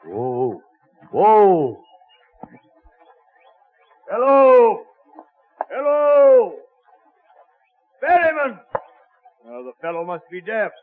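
Irritated male traveler, high swooping voice, old radio drama, muffled noisy recording. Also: wildly swooping pitch, drawn-out words, long pauses, exaggerated voice. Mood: impatient bellowing. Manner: loud, exasperated, theatrical. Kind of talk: vintage radio drama acting.

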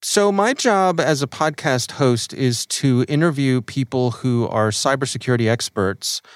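A man's voice: medium pitch, unhurried, no room sound, studio quality. A middle-aged man with an American accent, a podcast host talking through his own story in a conversational, thoughtful way. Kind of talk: reflective personal storytelling for a podcast.

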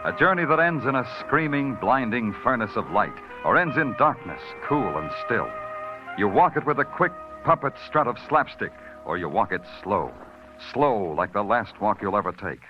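A brooding hard-boiled cop. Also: male voice, lowish voice, very animated, moderate pace. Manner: terse, brooding, poetic narration. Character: hard-bitten male police detective and narrator. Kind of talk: 1940s radio acting. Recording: dull old radio broadcast with music.

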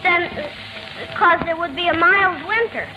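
A child answering as a contestant on an old radio quiz show, explaining haltingly. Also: very high voice, music in the background. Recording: very noisy, muffled vintage radio broadcast.

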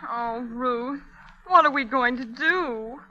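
A woman's voice: high-pitched, slow pace, pushed and bright, highs cut off, slight background noise, full character voice. An actress playing a sweet, timid, girlish young sister in a classic radio comedy, with a plaintive manner. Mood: frightened.

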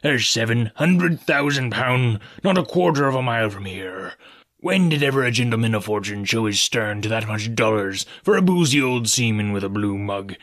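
Man voices blustering pirate chief, gruff and raised, storybook read-aloud. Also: medium pitch, expressive, moderate pace, a bit bright, no room sound. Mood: defiant. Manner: gruff, blustering, old sailor talk. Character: bold scheming male pirate leader.

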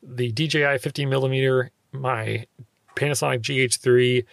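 A man's voice: medium pitch, steady tone, normal speed, gravelly, no echo. A young man with a slightly nasal voice hosts a casual solo podcast, answering listener questions in a loose, filler-heavy way. He records in a small sound-treated closet on a handheld recorder held close.

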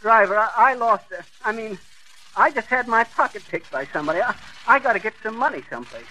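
An actor playing a flustered, blustering American husband with a folksy, stammering manner, in 1940s radio comedy style. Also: male voice, sits high, expressive, fast, bright, forceful tone, slight background noise, full character voice, low-quality recording. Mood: exasperated. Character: flustered, blustering husband, a fast-talking bumbler.

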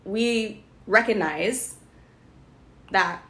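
A playful woman co-hosting a podcast who giggles often and jokes with her friend. Her voice is mid-pitched and the room sounds echoey around her. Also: bright, forceful tone. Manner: playful, giggly, quick to joke. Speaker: podcast co-host. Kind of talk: casual chat.

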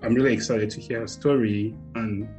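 A man's voice: on the low side, steady tone, a little fast, darkish tone, soft background music. A man hosting a podcast, asking his guest loose, meandering questions. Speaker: podcast host. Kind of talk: casual podcast interview.

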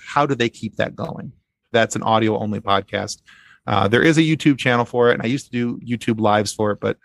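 Low, gravelly man chatting on a podcast. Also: no room sound. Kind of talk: casual podcast chat.

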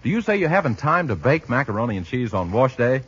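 Man, 1940s radio pitchman, emphatic and polished, husky edge, muffled recording. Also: big pitch swings, fast talker. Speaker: radio announcer.

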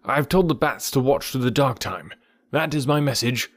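Guy doing excitable little messenger bird for a storybook, high voice, calling out all eager. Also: moderate pace, dry recording, studio quality, lightly acted. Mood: eager, proud. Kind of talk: audiobook character voice.

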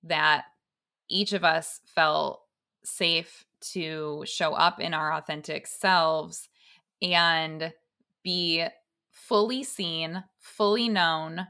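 A woman co-hosting a podcast in a reflective conversation, making her points deliberately in short, measured phrases. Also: low voice, fairly even intonation, sharp tone. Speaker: podcast co-host.

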